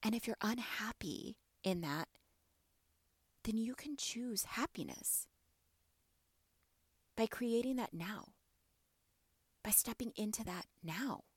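Raspy-voiced woman giving earnest encouragement. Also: a little high, animated, slow, pauses often, no room sound. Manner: earnest, encouraging, asks many questions. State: slightly raspy from allergies. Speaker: podcast host; mother. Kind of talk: motivational podcast monologue.

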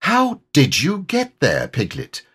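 Man playing a bright-spirited storybook boy, lifted pitch. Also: wildly swooping pitch, takes it slowly, breathy edge, heavily acted. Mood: cheerful. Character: friendly, cheerful young boy in a storybook.

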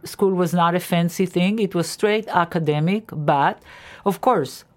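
Older israeli-born teacher lady, 70s, lowish voice, talks earnest and lively, restarts a lot. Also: unhurried. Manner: earnest, animated storytelling with frequent restarts. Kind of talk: interview, recalling her life.

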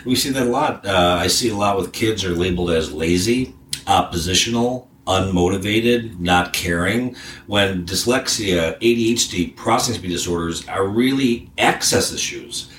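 Halting, filler-heavy male psychologist host. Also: deep voice, fairly bright tone, a little hiss or hum. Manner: halting, lots of fillers and restarts. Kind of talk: casual interview podcast. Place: office.

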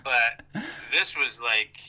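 An American man with a strikingly bright, forceful voice and a middle pitch. He talks casually and swears freely, rambling in a wry, self-deprecating way. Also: expressive, drawn-out words. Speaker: golf vlogger and amateur golfer. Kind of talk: casual phone chat, profane.

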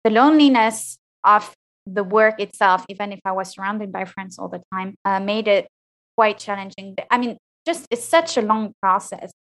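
A woman in her thirties with a fairly high voice, answering interview questions frankly and thoughtfully, often restarting her sentences. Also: moderate pace, fairly bright tone, low-quality recording. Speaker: interview guest, PhD graduate.

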